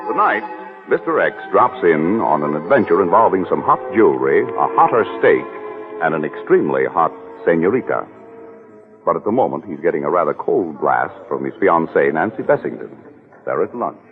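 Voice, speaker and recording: A man's voice: deep voice, sing-song, moderate pace. An American man narrating a 1940s radio drama in a dramatic, declamatory style. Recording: old narrow-band 1940s radio, reverberant, music.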